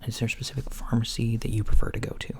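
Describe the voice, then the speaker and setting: A soft-spoken man doing a casual, hesitant ASMR roleplay as a mild alien doctor, often dropping to a near whisper. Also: medium pitch, flat delivery, racing. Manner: hesitant, understated, casual bedside talk. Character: mild, matter-of-fact alien doctor at a checkup. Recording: lo-fi with background noise. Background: writing sounds, soft ambience.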